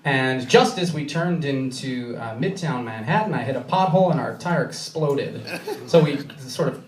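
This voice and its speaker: A man's voice: medium pitch, lively intonation, normal speed. An American man from Rochester, New York, chatting casually and jokingly as a cabaret host on stage. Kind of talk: casual stage banter between songs. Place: indoor cabaret theatre stage. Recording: echoey live venue, distant camera mic, music.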